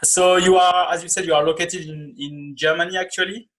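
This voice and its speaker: Guy in his 30s hosting a podcast chat, voice a bit high, kinda halting delivery. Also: steady tone, fairly bright tone, rough recording. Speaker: podcast host. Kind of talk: conversational interview. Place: small indoor room, video call.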